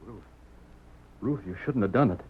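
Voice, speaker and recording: Radio western husband male actor: deep, husky, drawling rancher, self-doubting and folksy, thin vintage sound. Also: lively intonation, a little hiss or hum, close to an everyday voice. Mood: worried, defeated. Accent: American Western drawl. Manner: halting, folksy, defeated. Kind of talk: old-time radio western acting. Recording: narrow tinny old radio recording.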